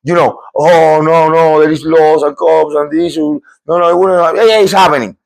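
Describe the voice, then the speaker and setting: Emphatic man from Cuba, animated storytelling. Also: fairly high pitch, normal speed, vocal fry. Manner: animated, repetitive, emphatic with restarts. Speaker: podcast host. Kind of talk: storytelling a true scary encounter.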